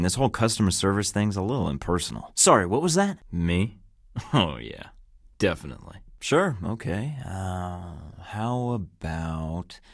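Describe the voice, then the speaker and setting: An actor playing a cocky, quick-witted young male spy with a dry, quippy delivery in a video game. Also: low-pitched, no room sound. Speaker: secret agent.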